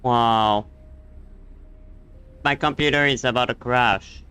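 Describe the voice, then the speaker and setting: Bright, clear-voiced man streaming a game. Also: medium pitch, fairly even intonation, slow pace, dry recording. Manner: casual swearing, muttering exasperation. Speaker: livestreamer. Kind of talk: casual game stream commentary.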